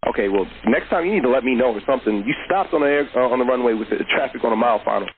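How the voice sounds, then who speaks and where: A man's voice: medium pitch, animated, rapid-fire, narrow, tinny audio. A man working as an air traffic controller, giving clipped instructions in aviation phraseology over the radio. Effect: radio transmission.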